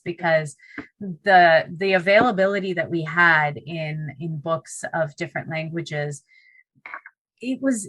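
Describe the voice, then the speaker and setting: Low-voiced earnest woman, Punjabi native. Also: a bit bright, dry recording, rough recording. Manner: earnest, with frequent restarts and fillers. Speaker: teacher and co-author. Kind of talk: podcast interview conversation.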